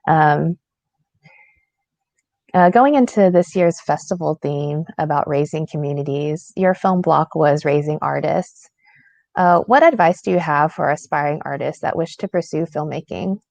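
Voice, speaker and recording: A woman's voice: low voice, unhurried, gravelly, a little mellow, dry recording. A woman moderating a festival Q&A, asking prepared questions in a friendly way over a video call. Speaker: interviewer.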